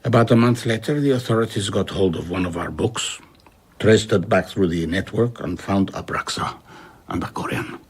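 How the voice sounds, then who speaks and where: An actor playing a reflective, middle-aged traveller in a radio drama, recounting his past in a husky, rueful, measured way. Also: low voice, heavily acted. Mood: sombre.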